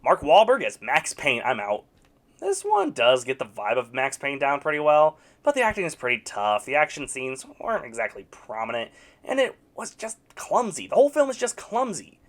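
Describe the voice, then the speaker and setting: A man's voice: a little high, huge pitch swings, moderate pace. A man reviewing video game movies in a scripted, casual voice-over, wry and sarcastic with mock-exasperated outbursts.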